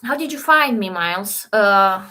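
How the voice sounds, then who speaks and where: A woman's voice: mid-range voice, animated, measured pace, bright, forceful tone. A woman from Romania hosting a trading live stream, chatting casually with viewers and thinking aloud over the charts.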